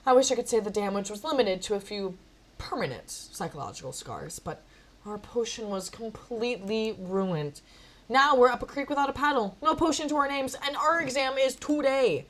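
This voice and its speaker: A woman's voice: medium pitch, animated, normal speed, darkish tone, lightly acted. A woman voicing a witch's cat apprentice, a wry, self-deprecating narrator reading a visual novel expressively. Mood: rueful. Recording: faint music behind.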